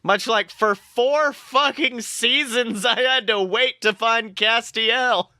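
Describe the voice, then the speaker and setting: A man co-hosting a podcast, chatting casually and excitably as a fan, swearing freely. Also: very high voice, takes it slowly, dry recording, clean studio sound. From southern United States. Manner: informal, profane, excitable fan talk. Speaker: podcast co-host.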